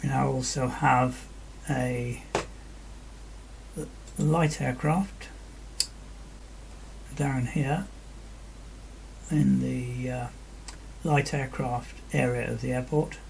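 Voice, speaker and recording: A man narrates an air traffic control session with a methodical manner. His voice is very gravelly and his delivery is slow. There is faint noise behind him. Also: medium pitch, steady tone, darkish tone, low-quality recording. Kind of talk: radio phraseology and explanatory screen-recording commentary.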